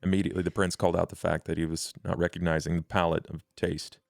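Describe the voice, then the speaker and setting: A man playing a courtly, world-weary vampire in a tabletop roleplay, speaking gravely in formal, deliberate, ornate phrases. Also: low voice, level tone, no room sound, studio quality. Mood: measured, scheming. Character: measured, scheming male vampire named Duke, courtly and world-weary.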